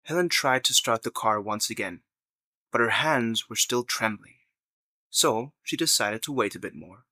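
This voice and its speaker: A man's voice: medium pitch, animated, a little slow, sharp tone, no echo. A man narrating a scripted story in the third person as the narrator of an audio drama.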